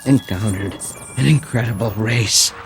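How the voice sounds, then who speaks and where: A man's voice: fairly low pitch, measured pace, over loud music. A man acting as a desperate space explorer sending a final transmission in a suspense radio drama. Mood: grave, desperate.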